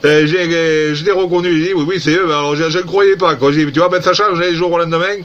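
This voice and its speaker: A man's voice: on the high side, steady tone, quick pace, a bit bright. A man chatting casually as an amateur radio operator, amazed and repeating himself. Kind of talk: casual CB-style radio contact. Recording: dull lo-fi radio contact recording.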